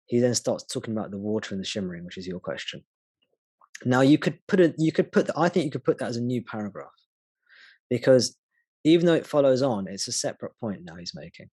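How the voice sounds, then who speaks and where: A man's voice: medium pitch, a little mellow, dry recording. A man leading a satsang, explaining spiritual teachings patiently and thinking aloud as he goes.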